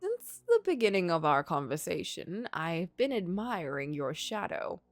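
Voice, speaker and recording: A woman voicing a sly, courtly gentleman in a story game, coaxing and insistent as he offers magical bargains. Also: fairly low pitch, very animated, unhurried, no echo, faint music behind.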